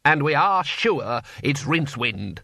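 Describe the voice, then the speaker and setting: A man voicing a stubborn, suspicious male wizard dean, speaking slowly. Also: medium pitch, very animated, creaky, sharp tone, dry recording, studio quality, exaggerated voice. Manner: slow and stubborn.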